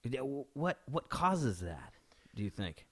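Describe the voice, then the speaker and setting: A man's voice: mid-range voice, very animated, measured pace. A man hosts an informal interview, asking questions in a loose, conversational way. He restarts his phrasing often and slips into friendly teasing. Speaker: interview host.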